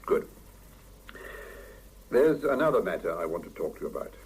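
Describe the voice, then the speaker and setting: Stern, husky, low boss. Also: male voice, very animated, moderate pace, full character voice. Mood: measured, formal. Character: stern middle-aged male laboratory boss, brisk and exacting. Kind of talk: 1970s British radio drama acting. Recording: muffled 1975 radio drama cassette recording.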